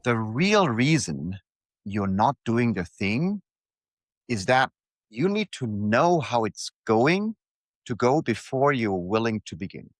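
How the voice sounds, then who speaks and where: A man delivers a reflective motivational monologue in a mid-pitched voice with very lively intonation. He speaks in deliberate, short phrases and repeats patterns for emphasis. The sound is dry, with no room around it. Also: no echo. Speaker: writer reading own newsletter aloud.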